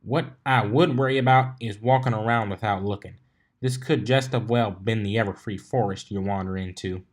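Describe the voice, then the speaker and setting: A man's voice: lowish voice, moderate pace, lightly acted. A man voicing an easygoing, reassuring female farmer pony with folksy, plain-spoken country speech in a story reading.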